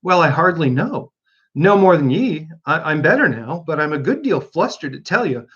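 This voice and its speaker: A man's voice: a little high, big pitch swings, fairly bright tone, slightly rough, lo-fi sound, exaggerated voice. A man voicing a feeble, flustered little creature. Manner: feeble, rattled, halting. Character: small, feeble, flustered male lizard-like creature in storybook.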